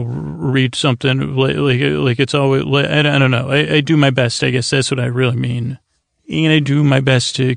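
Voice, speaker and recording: A very gravelly, creaky man recorded close and dry. He hosts a bedtime show in a lulling, long-winded ramble, halting often and wandering off in a friendly, soothing way. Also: mid-range voice, no room sound. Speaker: bedtime podcast host.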